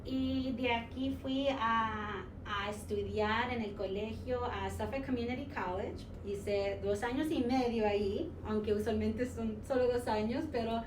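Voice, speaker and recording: A woman in her forties from New York tells her story at an easy, halting pace, full of fillers and stray English words. Her voice sits a little high. She is picked up from across a reverberant room, with steady noise underneath. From United States, New York. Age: 40s. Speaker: social studies teacher, interview guest. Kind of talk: casual interview, personal story. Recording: roomy sound from a distant mic with noise.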